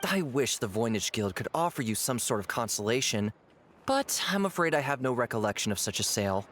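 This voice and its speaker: A man's voice: mid-range voice, big pitch swings, fairly quick, a bit bright, a little hiss or hum. A man voicing an effusive, polite guild merchant in a fantasy video game. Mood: courteous.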